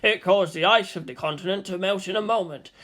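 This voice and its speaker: A man voicing a formal schoolteacher in an audiobook, lecturing in a measured, declamatory way. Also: medium pitch, a bit bright, close to an everyday voice. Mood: solemn lecturing.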